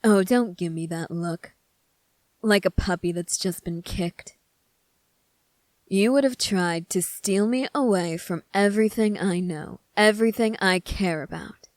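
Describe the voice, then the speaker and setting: A woman voicing a sly, teasing young prince in a scripted ASMR roleplay, speaking deliberately and smugly with calm command. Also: mid-range voice, no room sound, close to an everyday voice. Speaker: voice actor.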